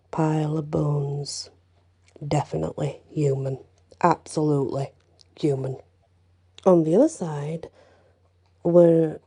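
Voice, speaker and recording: A woman storyteller reading a folktale aloud in first person, hushed, with whispered passages and casual asides. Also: fairly low pitch, vocal fry, breathy edge.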